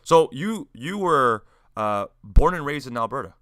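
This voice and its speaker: A man's voice: mid-range voice, very animated, measured pace, pushed and bright, dry recording, clean studio sound. A man hosting a casual interview chat, laid-back and stumbling over words, calling his guest "man" and "dude". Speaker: podcast host. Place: city in Alberta, Canada.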